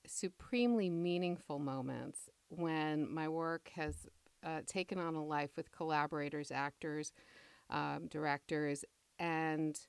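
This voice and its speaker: A middle-aged woman being interviewed as a playwright, talking reflectively with measured, deliberate phrasing. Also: low-pitched, clear, resonant tone, no echo.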